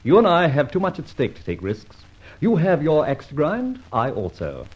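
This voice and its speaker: A man playing a suave, mocking gentleman thief in an old radio drama, ironic and amused. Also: a little high, wildly swooping pitch, moderate pace, husky, full character voice. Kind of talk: 1940s radio acting. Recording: dull lo-fi old radio recording.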